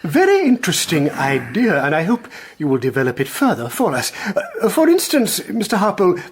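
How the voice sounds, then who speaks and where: Husky, courteous English committee chairman. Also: sits high, huge pitch swings, moderate pace, exaggerated voice. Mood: placating. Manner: hesitant, courteous, long-winded officialese. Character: genial, conciliatory male chairman of school governors. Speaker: chairman of the governors. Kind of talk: radio drama acting.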